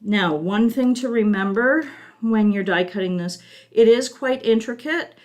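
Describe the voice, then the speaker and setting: A woman's voice: fairly high pitch, a little slow, darkish tone. A woman walking viewers through a card-making class step by step, her voice still recovering from a long illness. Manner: patient, methodical, talks through each step with fillers. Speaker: craft class instructor.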